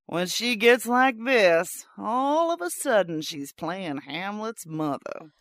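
An actress playing a blunt, sarcastic, wisecracking theater maid in a radio drama. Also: female voice, medium pitch, lively intonation, slow, a bit bright, dry recording, studio quality.

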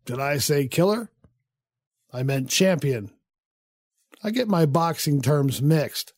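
A man acting in an audio drama as an urbane, sardonic theatre critic with a measured, condescending manner and quiet menace. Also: a little high, animated, no room sound, studio quality. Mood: dry, probing. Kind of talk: audio drama acting with first-person narration.

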